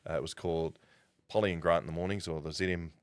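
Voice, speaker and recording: Low-voiced New Zealand man telling stories. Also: fairly even intonation, fast talker, clear, resonant tone, dry recording. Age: 40s. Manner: rambling, conversational, self-correcting. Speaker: streamer and broadcaster. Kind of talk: casual live-stream storytelling.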